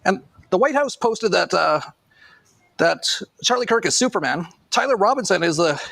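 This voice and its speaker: High-pitched gravelly man, casual commentator. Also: expressive, normal speed, a bit bright, dry recording. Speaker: online commentator.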